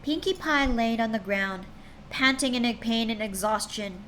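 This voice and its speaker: A woman's voice: on the high side, measured pace, a bit bright, slight background noise. A woman streamer reading fan fiction aloud to her chat, chatty and tripping over words now and then.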